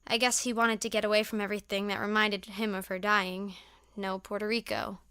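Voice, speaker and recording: A young woman acting an earnest, somewhat hesitant high school girl in a naturalistic audio drama. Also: little pitch movement, a little fast, pushed and bright, clear, resonant tone, dry recording, studio quality. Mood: subdued, reflective. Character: earnest, reserved teenage high school girl raised on the mainland.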